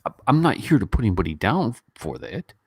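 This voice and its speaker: A man's voice: fairly low pitch, a little fast, slightly rough, dry recording, clean studio sound. A man chatting informally and in a rambling way as the host of a casual online livestream. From Western country. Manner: informal, animated when mimicking others, rambling. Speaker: YouTube host. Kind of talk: casual livestream chat and commentary.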